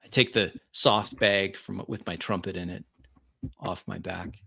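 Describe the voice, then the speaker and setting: A man's voice: low voice, steady tone, unhurried, vocal fry, pushed and bright, slightly rough. A man narrating his character's actions in the first person during a tabletop roleplay.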